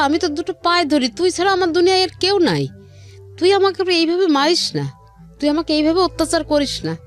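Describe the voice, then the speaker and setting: A middle-aged woman with a Bangladeshi accent tells her personal story in an interview, earnest and repeating her phrases, often acting out quoted speech. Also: high voice. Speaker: guest telling her life story. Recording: music under the voice. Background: background music.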